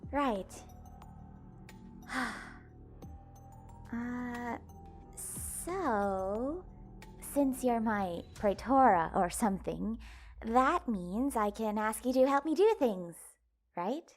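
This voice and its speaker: Woman acting young girl, high pitch, unhurried, feisty, wry, casual, awkward, audio drama. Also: animated, faint music behind. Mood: wry, unsure. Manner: hesitant, casual, dryly sarcastic.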